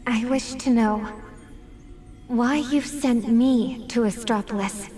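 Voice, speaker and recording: An earnest young woman who speaks slowly and carefully, as if she is weighing each question. Her voice sits a little high, with a long reverb trailing behind it and soft music under it. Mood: uncertain. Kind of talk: video game cutscene voice acting.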